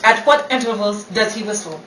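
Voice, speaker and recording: Woman acting a stern, deadpan woman, echoey room. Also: medium pitch, a little fast, close to an everyday voice. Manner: curt, formal, deadpan. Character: stern, literal-minded woman in a 1930s comedy. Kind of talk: old-time radio acting. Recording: roomy, distant-mic recording with faint noise.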